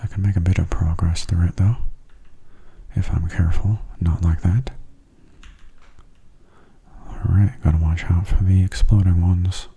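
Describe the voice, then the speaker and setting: Very soft, breathy low-voiced ASMR man. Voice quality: soft, breathy, often near a whisper. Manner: calm, unhurried, musing aloud. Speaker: gaming video host. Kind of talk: soft-spoken ASMR gameplay commentary.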